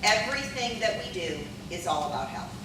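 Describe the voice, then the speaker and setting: A middle-aged woman from Maryland giving a conference talk with a loud teacher voice, full of ums and questions to the room. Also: medium pitch, lively intonation, normal speed. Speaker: conference speaker. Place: conference hall. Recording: echoey hall, distant mic, noisy.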